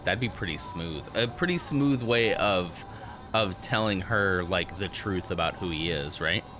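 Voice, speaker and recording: A man's voice: low voice, measured pace, music in the background. A man streaming a game, joking and chatting as he plays, laughing often. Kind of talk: casual let's play commentary.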